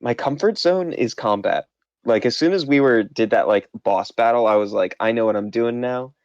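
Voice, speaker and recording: A man who runs a tabletop game, chatting casually after a session in a halting, self-deprecating way with many restarts. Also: medium pitch, no room sound. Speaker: game master. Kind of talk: casual post-game chat. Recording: muffled lo-fi audio.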